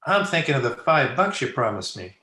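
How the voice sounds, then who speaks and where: Male actor as cheeky kid hustler for radio comedy: bright, very animated, slangy, roomy sound. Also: medium pitch, fairly quick. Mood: cheeky, demanding. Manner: folksy, ungrammatical kid talk. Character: cheeky, slangy young boy hustling for money. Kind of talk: old-time radio comedy acting. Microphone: video call. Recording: echoey, noisy video-call recording.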